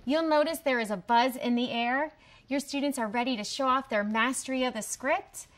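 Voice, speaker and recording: A woman's voice: sits high, normal speed, a bit bright. A woman delivering scripted promotional narration as a presenter. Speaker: host presenter.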